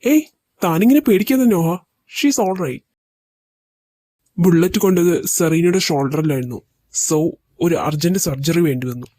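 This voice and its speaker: Woman voicing a calm reassuring male doctor. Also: fairly low pitch, no echo, natural voice. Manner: soft, measured reassurance. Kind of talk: amateur audio drama voice acting.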